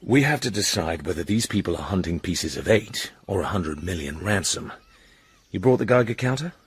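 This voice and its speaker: An actor playing an urbane secret agent in a radio drama, with a dry, probing manner and a gravelly voice. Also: male voice, low voice, expressive, normal speed, no echo, full character voice. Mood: dry, businesslike.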